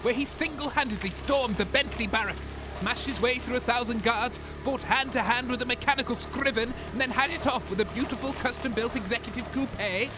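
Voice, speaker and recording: Male actor as fame-hungry DJ, very high pitch, theatrical, grandiose, excitable, comic audio drama, music behind. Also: a little fast, hardly any pauses, rough recording. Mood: awestruck. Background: music bed.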